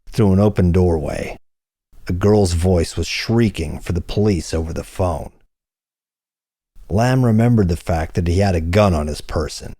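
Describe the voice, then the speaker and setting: A man reading hardboiled crime fiction as an audiobook narrator, in a measured, deliberate storytelling manner. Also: sits low, warm tone, no echo, studio quality.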